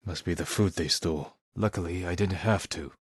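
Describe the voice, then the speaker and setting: An actor playing an honourable, stoic samurai warrior in a video game, speaking in a measured, solemn way with short, clipped sentences. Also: male voice, low-pitched, husky, dry recording, studio quality. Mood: calm, resolute. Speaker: video game lead character. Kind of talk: dramatic video game voice acting.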